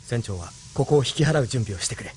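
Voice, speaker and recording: A man's voice: mid-range voice, lively intonation, fast talker, darkish tone, close to an everyday voice. An actor playing a resolute young pilot who leads his crew in an audio drama. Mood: determined. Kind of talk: Japanese audio drama acting. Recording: faint ambient noise.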